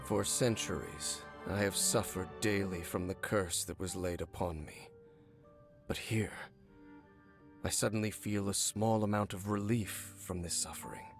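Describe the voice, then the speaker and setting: An actor playing a brooding, centuries-old cursed former guard captain in a video game, speaking in a formal, measured, solemn way. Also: male voice, lowish voice. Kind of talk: video game character dialogue. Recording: game audio with background music. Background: game music.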